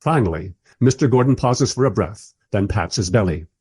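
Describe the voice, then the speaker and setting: Male, inquisitive, well-mannered narrator-interviewer for radio drama. Lively intonation, slightly rough husky tone. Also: on the low side, normal speed. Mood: wry, observant.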